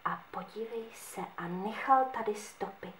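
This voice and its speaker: A woman voicing a gentle, kind female angel who speaks softly and soothingly. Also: mid-range voice, natural voice. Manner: soft, hushed and soothing. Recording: echoey room.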